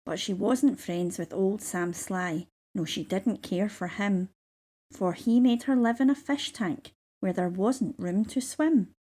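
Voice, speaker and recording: A woman reading a children's story aloud in measured, rhythmic verse. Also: medium pitch, warm tone. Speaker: storybook reader.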